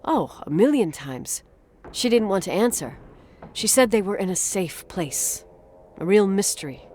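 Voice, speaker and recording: An actress plays a guarded, wry woman in a narrative video game. She talks naturally and a little hesitantly, with dry sarcasm and an everyday mid-range pitch. Faint ambient noise sits behind her voice. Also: lively intonation, a little slow, a little mellow, close to an everyday voice. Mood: nostalgic.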